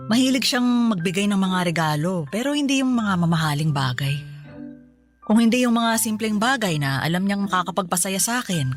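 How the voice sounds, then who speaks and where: A woman's voice: lowish voice, lightly acted. A woman with a Filipino accent playing a middle-aged mother in a radio drama, affectionate and teasing in a conversational way. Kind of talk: Tagalog radio drama acting.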